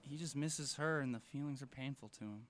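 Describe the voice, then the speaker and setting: A man's voice: medium pitch, a little fast, fairly bright tone, a little hiss or hum, lightly acted. A man playing a supportive, jokey teenage boy, an earnest best friend in an audio drama. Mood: earnest, comforting. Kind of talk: fiction podcast audio drama acting.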